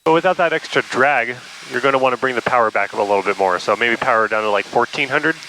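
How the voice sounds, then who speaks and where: A man, a flight instructor, calmly explaining and giving instructions in a small plane cockpit over a headset intercom. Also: mid-range voice, fast talker, slight background noise, poor audio. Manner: calm, explanatory, gives steady instructions. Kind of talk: coaching in flight. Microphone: aviation headset intercom.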